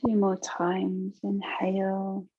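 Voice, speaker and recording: A woman leads a guided relaxation as a yoga nidra teacher. She speaks very slowly and gently, leaving long pauses between soothing instructions. Her voice has a creaky, gravelly edge, and the recording is dry and a little dull. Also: mid-range voice, completely flat delivery, dry recording.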